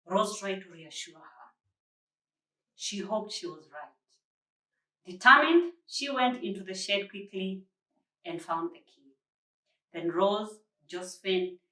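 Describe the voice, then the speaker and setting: A woman reading a children's story aloud with deliberate, dramatic phrasing and expressive stress on words. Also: mid-range voice. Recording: roomy echo, distant mic.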